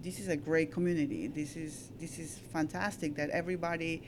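A woman's voice: sits low, fairly even intonation, fairly quick, background noise. A woman from Peru with a Peruvian Spanish accent, chatting casually as a shop co-owner in an interview.

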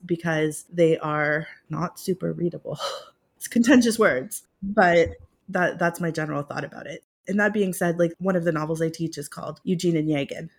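A woman's voice: fairly low pitch, slightly rough. A woman talking about her work as a professor in a casual, self-deprecating way, laughing now and then. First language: English. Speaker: guest, Russian literature professor. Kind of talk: conversational interview.

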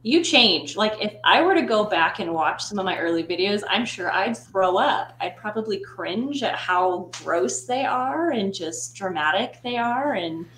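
A woman's voice: mid-range voice, expressive, unhurried, hardly any pauses, fairly bright tone, reverberant, a little hiss or hum, low-quality recording. A young woman talking reflectively as a guest in a casual interview chat, with loose phrasing and many fillers. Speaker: guest, content creator.

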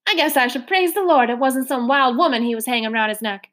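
A woman voicing a large, loud, warm-hearted older foster mother with a hearty, booming manner. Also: quick pace.

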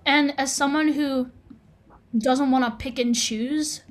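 A woman's voice: sits high, fairly even intonation, slow pace. Earnest young woman co-hosting a podcast. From United States. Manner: earnest, thoughtful, with frequent restarts. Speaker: podcast co-host. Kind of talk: casual podcast discussion.

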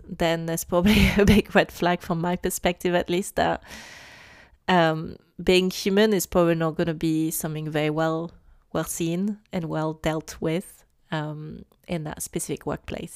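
Woman, low and smooth. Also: no room sound, studio quality. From France. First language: French. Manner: earnest, emphatic, repeats starts. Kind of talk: casual discussion.

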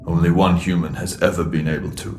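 An actor voicing a grave, law-abiding alien with a protective streak, speaking in terse, measured lines in a sci-fi audio drama. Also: male voice, low voice, flat delivery, a little mellow, faint music behind, natural voice. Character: Throg, grave lawful alien Ferran, secretly protective.

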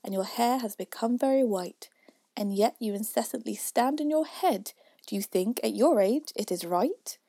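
A woman plays a nosy, impudent youth reciting storybook verse. Each question is measured and emphatic, in a husky, mellow voice with a dry sound. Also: on the high side, no echo. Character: cheeky, inquisitive young man questioning his father.

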